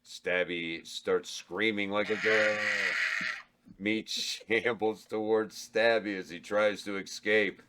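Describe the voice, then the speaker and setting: A man reading stage directions at a table read, flat and matter-of-fact. His voice is quite deep. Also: takes it slowly, heavy background noise. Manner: flat stage directions, occasional self-corrections.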